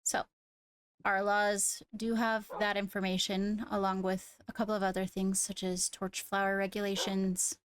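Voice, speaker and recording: A woman with measured, formal phrasing, addressing a court as its leader in an improvised roleplay. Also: medium pitch, flat delivery, smooth. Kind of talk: improvised in-character roleplay announcement.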